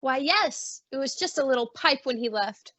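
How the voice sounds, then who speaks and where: Woman playing tender fussing mother, emotional, high-pitched, bright tone, old-time radio acting, dry sound. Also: animated, normal speed, no room sound. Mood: fond, fussing. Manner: fussing, cooing endearments.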